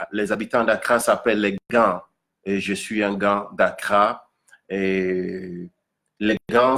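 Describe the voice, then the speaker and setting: A man from Accra with a Ghanaian accent and Ga as his first language, explaining things informally to an audience, haltingly and repeating words for emphasis. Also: lowish voice, fairly bright tone. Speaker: musician presenting own single.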